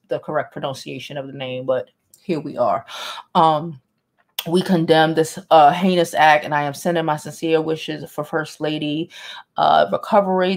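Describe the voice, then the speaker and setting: A woman, casually and bluntly commenting on news and reading it aloud from a home studio, with sighs and profane asides. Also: low-pitched. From Brooklyn, New York. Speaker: celebrity news vlogger. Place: home studio with neon sign, indoors.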